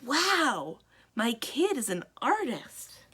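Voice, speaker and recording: An excitable storybook mother who alarms easily, played by a woman reading aloud. Her voice is high and very animated, full of dramatic exclamation. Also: slow pace, a little hiss or hum, full character voice. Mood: impressed.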